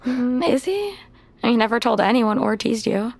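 Creaky-voiced, determined young woman. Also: fairly high pitch, sing-song, moderate pace, a little mellow, no room sound, heavily acted. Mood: wry, gentle. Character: determined, wounded young woman, a survivor set on revenge. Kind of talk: naturalistic audio drama acting. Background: music.

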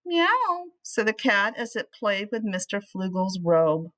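A middle-aged woman reading a children's storybook aloud in an animated way, speaking to young listeners as a teacher would. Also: medium pitch, measured pace. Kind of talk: expressive children's storybook read-aloud with asides.

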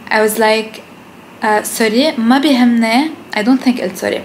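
Casting: young Lebanese woman vlogger, higher-pitched voice, casual and blunt, switches into English, home recording with noticeable background noise. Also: normal speed. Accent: Lebanese Arabic. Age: young adult. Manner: mixes English phrases into Arabic; blunt, swears freely. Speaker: food vlogger. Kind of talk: casual chat to camera. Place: indoors at home, kitchen and living room.

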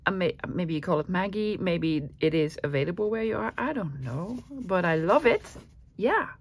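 A woman's voice: lowish voice, animated, normal speed. A woman from the Netherlands with a Dutch accent, chatting casually as she shows off a craft supplies haul, gushing and enthusiastic. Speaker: craft vlogger.

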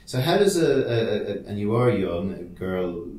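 A man's voice: on the low side, big pitch swings, unhurried, darkish tone, roomy sound. A male podcast host asking questions in casual, rambling, teasing conversation.

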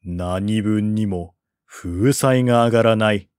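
A man reading literary narration as an audiobook narrator, in a measured, formal storytelling manner. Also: lowish voice, darkish tone, clear, resonant tone, no room sound, studio quality.

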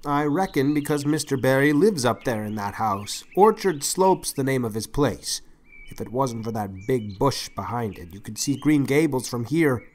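An actor playing a shy, kindly older farmer in an audiobook, with halting, folksy speech. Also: male voice, medium pitch, animated, close to an everyday voice. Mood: plain, hesitant.

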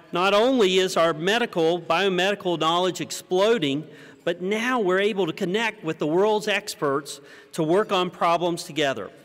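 An American man in his fifties giving a prepared conference keynote at a podium, with measured, rhetorical delivery and deliberate pauses. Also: high voice, fairly bright tone. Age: 50s. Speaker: keynote speaker. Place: large conference hall stage, indoors. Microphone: podium microphone. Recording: reverberant hall sound.

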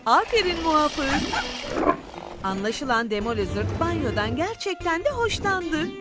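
A woman voicing a kind, guiding female helper in a children's cartoon dub, articulate and gently instructive. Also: high voice, big pitch swings, measured pace. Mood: pleased, encouraging. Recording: loud music under the voice.

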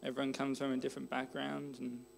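A young Australian man in his twenties, answering questions on a church stage through a handheld mic, relaxed and loose with plenty of fillers. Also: medium pitch, quick pace, gravelly, soft background music. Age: 20s. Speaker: interviewee, church member. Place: church stage, large hall.

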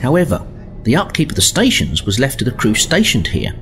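A man's voice: mid-range voice, huge pitch swings, a little fast, a bit bright, slight background noise. A man playing an expert starship advisor who explains formally in a sci-fi audio drama. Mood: regretful.

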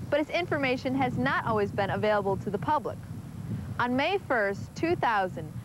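High-pitched young American woman presenting. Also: noisy background. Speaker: youth TV show presenter. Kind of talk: scripted presenting and narration. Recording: music bed behind voice.

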